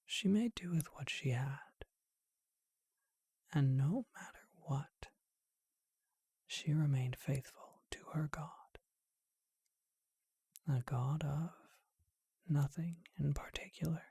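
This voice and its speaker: A performer voicing a charming vampire who tells an old tale in a gentle, breathy ASMR whisper, unhurried and reflective. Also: medium pitch, no echo, clean studio sound, heavily acted. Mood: hushed storytelling.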